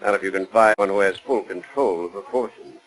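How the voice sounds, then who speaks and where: A man's voice: lowish voice, fairly quick, fairly bright tone, poor audio. An actor playing a stern, imperious older father, haughty and commanding, in 1940s radio style. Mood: cold, needling. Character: stern, imperious wealthy father, cold and sharp-tongued. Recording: 1940s radio broadcast recording.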